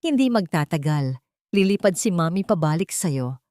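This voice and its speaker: A woman's voice: fairly low pitch, lively intonation, normal speed, darkish tone, no echo, clean studio sound, close to an everyday voice. A woman voicing a young mother who feigns madness with a childlike lilt. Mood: whispering tender.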